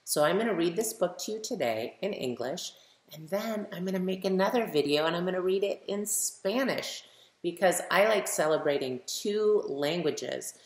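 A middle-aged American woman, a children's author, reads a picture book aloud and speaks directly to young listeners with emphatic, animated delivery. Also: on the low side, normal speed. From United States. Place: medium living room at home, indoors. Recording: roomy, echoey home recording.

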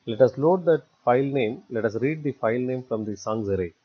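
A man's voice: mid-range voice, a little fast. Man, dull lo-fi recording. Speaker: software tutorial instructor. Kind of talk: step-by-step screencast tutorial narration. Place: voice-over for a screen recording.

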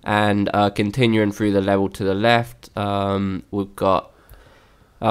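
A man's voice: lowish voice, clear, resonant tone. A young man with a slightly worn voice, casually narrating a game walkthrough with many fillers and restarts. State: slightly lost voice.

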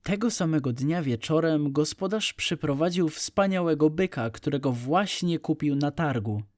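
A man's voice: on the high side, expressive, normal speed, a little mellow, dry recording, studio quality. A man narrating an audiobook story for young children.

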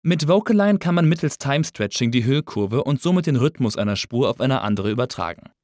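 A man narrating a scripted audio engineering tutorial in a clear, orderly, explanatory way. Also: mid-range voice, expressive, a little fast, a little mellow, no echo, studio quality. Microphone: close studio voice-over mic.

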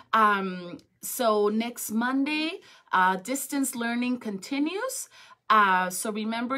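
A woman in her thirties talking to young students as an educator, expressive and encouraging. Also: fairly high pitch. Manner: expressive, encouraging, addresses children directly. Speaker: school educator reading to students. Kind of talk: read-aloud and chat to children. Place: small school office or classroom, indoors. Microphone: phone at arm's length.

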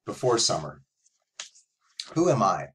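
A middle-aged man reading a verse novel in the words of a lonely, self-conscious schoolboy, in a measured, reflective way. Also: on the low side, big pitch swings, noisy background. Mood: reflective, earnest. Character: self-conscious, lonely, imaginative seventh-grade boy narrator. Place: small room at home, indoors.

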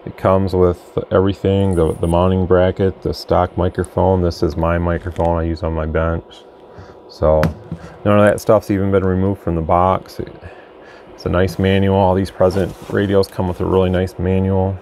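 Man, casual reviewer, even monotone, creaky voice, rambling delivery, indoor bench recording. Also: low-pitched, moderate pace. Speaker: radio bench reviewer, channel host. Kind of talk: casual product demonstration. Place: indoor radio workbench. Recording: faint background noise, room ambience.

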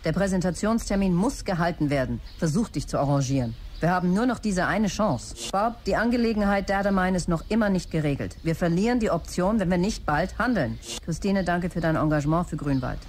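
Low-voiced businesslike woman played by an actress. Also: expressive, fairly quick, few pauses, darkish tone, slight background noise, lightly acted. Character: businesslike, driven female executive presenting a tech venture. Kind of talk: German radio play, dictated messages.

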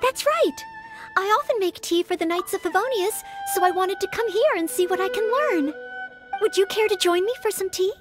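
A woman voicing an earnest, gentle young maid who hopes to be a knight, polite and humble, in an anime-style video game. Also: very high voice, lively intonation, normal speed, music in the background. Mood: gentle, reflective.

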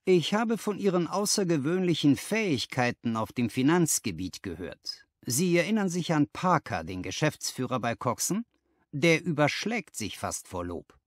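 A man voicing an energetic, flattering financial agent in an audiobook, speaking in an emphatic, self-assured way. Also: a little high, moderate pace, no echo, clean studio sound, pitched above the performer's own voice. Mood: brisk, flattering. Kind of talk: dramatized audiobook dialogue.

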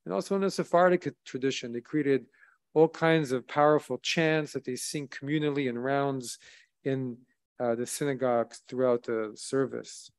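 A man in his thirties, a rabbi, delivering a sermon from a synagogue lectern with measured, solemn emphasis. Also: mid-range voice, steady tone, clean tone. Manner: measured, solemn preaching with rising emphasis on key phrases. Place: synagogue sanctuary at a wooden lectern, indoors. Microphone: lectern microphone, streamed by video.

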